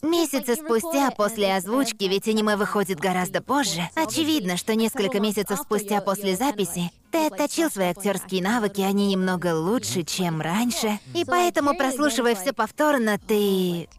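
A woman's voice: mid-range voice, lively intonation, normal speed, few pauses, a crowd in the background, lightly acted. A woman dubbing a voice-actress guest, answering in an agreeable, thoughtful way. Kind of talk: dubbed interview chat.

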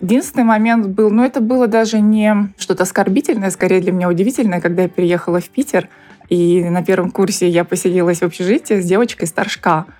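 A woman's voice: mid-range voice, fairly even intonation, fast talker, mellow, faint music behind. A woman in her thirties from Buryatia in Russia, telling personal stories casually with a wry, informal manner. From Ulan-Ude, Buryatia, Russia. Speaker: podcast interviewee.

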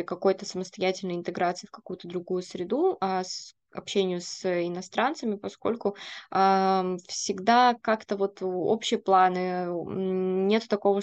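Woman, podcast host, mid pitch, careful hedging manner. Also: darkish tone. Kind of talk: interview questions.

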